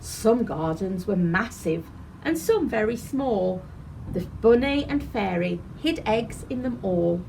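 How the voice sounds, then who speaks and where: A woman reading a rhyming children's picture book aloud as a storyteller, in an animated, deliberate way. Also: mid-range voice, vocal fry, slight background noise, poor audio. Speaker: library storyteller.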